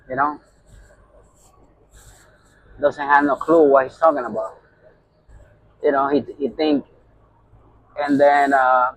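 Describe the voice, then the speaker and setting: A man's voice: a little high, creaky, no echo, rough recording. A middle-aged man with a Puerto Rican accent, a truck driver telling a heated story in a casual vlog, with constant fillers. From New York. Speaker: owner-operator truck driver.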